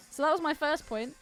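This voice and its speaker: A woman's voice: high-pitched, a bit bright. A woman co-hosting a podcast, chatting casually about films in an informal, slangy, candid way. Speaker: podcast co-host. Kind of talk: casual film chat.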